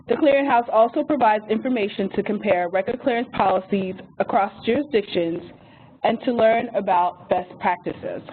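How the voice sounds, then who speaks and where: A woman moderating a webinar, reading housekeeping notes and an introduction from a script. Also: on the high side. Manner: reads phone number slowly and carefully. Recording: tinny phone-line audio with faint hiss.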